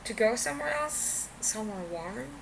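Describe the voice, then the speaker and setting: A woman's voice: fairly high pitch, expressive, moderate pace, creaky, background noise, pitched above the performer's own voice. A woman voicing an eager but sheepish, awkward young man in an amateur audio story.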